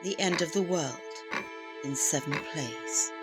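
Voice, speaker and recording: A woman's voice: low voice, unhurried. A woman announcer reading a scripted introduction to an audio drama. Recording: loud music under the voice. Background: theme music.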